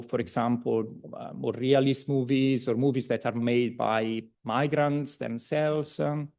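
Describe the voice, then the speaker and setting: An Italian-accented man presents at an academic seminar, his speech full of ums, restarts and relaxed, self-deprecating asides. His voice has a gravelly, creaky edge and sits at a middle pitch. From Italy. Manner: hesitant, many ums and restarts. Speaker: seminar speaker.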